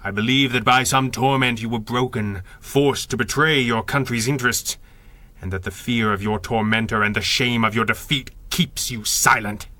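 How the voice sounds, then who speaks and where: An actor playing a stern masked crime fighter in a radio drama, with formal, authoritative delivery. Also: male voice, medium pitch, steady tone, normal speed, bright, forceful tone, no echo, natural voice. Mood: stern, pressing. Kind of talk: 1930s-style radio drama acting.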